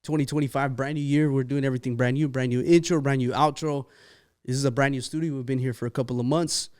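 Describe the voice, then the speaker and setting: Chatty male podcast host, mid pitch. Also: steady tone, fast, darkish tone. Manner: upbeat, chatty, repeats guest's answers. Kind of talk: casual interview chat. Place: podcast studio.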